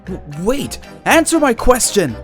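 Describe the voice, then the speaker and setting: A man voicing an earnest, caring, easily exasperated young protagonist in an anime-style audio drama, slightly husky. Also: very high-pitched, huge pitch swings, a little slow, faint music behind, full character voice. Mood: indignant.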